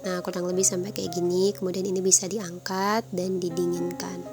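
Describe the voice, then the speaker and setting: A woman's voice: lowish voice, flat delivery, normal speed. A woman with a nasal, congested voice from a cold, casually explaining a recipe step by step in a voice-over. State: stuffy nose from flu. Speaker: home cook presenting a recipe. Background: background music.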